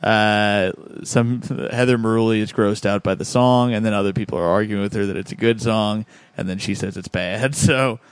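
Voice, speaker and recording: A man's voice: lowish voice, fairly even intonation, moderate pace, hardly any pauses, clean tone, dry recording. A man hosting a podcast, reading out listener comments in a laid-back, chuckling way. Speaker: podcast host.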